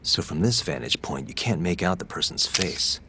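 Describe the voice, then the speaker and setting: An actor playing a sharp young male private investigator who asks questions in a calm, probing, methodical way, in a game scene with music behind. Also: sits low, moderate pace, noisy background. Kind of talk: game dub acting.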